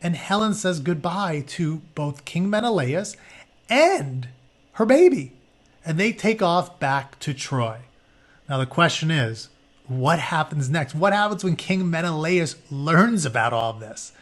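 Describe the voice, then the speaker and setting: A man's voice: fairly high pitch, very animated, unhurried, fairly bright tone. A man teaching through a read-aloud, mixing narration with informal, conversational explanations as he goes. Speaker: teacher reading a book aloud.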